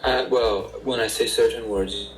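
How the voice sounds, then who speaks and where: A man from Northern Ireland with a softened Northern Irish accent, chatting casually and patiently explaining things over a video call. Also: on the low side, steady tone, unhurried, roomy sound, faint music behind, rough recording. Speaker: stranger on a chat site. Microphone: online video call.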